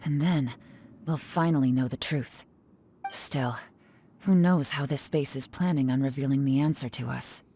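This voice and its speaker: A woman playing a shrewd, composed adult female adventurer in a video game, speaking deliberately. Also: rumbling low, steady tone, dark tone, slight background noise. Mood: wary. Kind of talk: English game dub cutscene acting.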